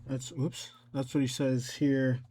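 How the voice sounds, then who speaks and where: A man giving a casual software tutorial, rambling and thinking aloud as he works through each step. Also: medium pitch, little pitch movement, slow, a little hiss or hum. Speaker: tutorial presenter.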